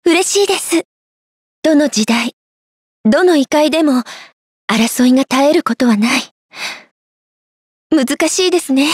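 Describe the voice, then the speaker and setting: A woman playing a graceful, humble young warrior queen in a video game, polite, soft-spoken and earnest. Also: high voice, no room sound, clean studio sound. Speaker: game voice actor. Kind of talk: scripted Japanese video game character lines.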